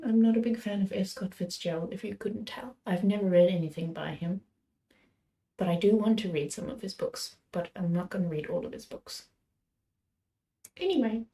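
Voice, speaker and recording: Slightly hoarse woman rambling about books. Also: fairly high pitch, mellow, poor audio. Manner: rambling, chatty, self-correcting. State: slightly hoarse, a little sick. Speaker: book channel host. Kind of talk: casual chat about books.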